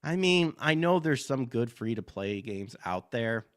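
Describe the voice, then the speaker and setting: A hoarse man hosting a gaming podcast, reading news articles aloud and breaking into blunt, profane asides full of fillers. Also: medium pitch, animated, a little slow, faint music behind. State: hoarse from allergies. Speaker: podcast host.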